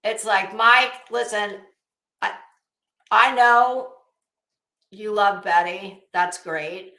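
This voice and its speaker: A woman's voice: medium pitch, drawn-out words, sharp tone. A woman livestream host on a casual rant to her chat, blunt and coarse, with an emphatic, sarcastic, challenging delivery. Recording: echoey room.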